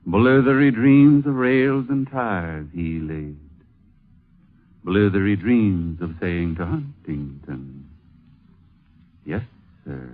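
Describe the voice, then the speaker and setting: A man reciting poetry dramatically, drawing out his phrases with measured pauses. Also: sits low. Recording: tinny narrow-band vintage recording.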